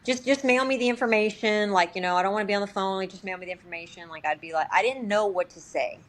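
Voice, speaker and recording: A woman guesting on a podcast, talking informally with lots of "like" and quick quoted examples. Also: mid-range voice. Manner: informal, heavy use of "like", acts out short quotes. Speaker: sales agent, podcast guest. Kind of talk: casual podcast interview.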